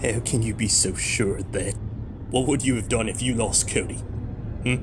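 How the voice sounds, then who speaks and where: A man's voice: fairly low pitch, moderate pace, background noise, natural voice. A man playing a drunk, grieving and reckless young clone trooper in an audio drama, emotional and defiant. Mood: grieving, bitter. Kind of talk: dramatic audio fiction acting. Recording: music bed under the voice.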